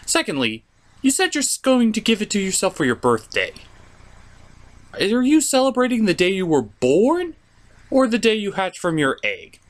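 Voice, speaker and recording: A reviewer giving scripted comedic narration in a theatrical, sarcastic, mock-exasperated way, with some swearing. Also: medium pitch. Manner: profane. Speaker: cartoon reviewer and host.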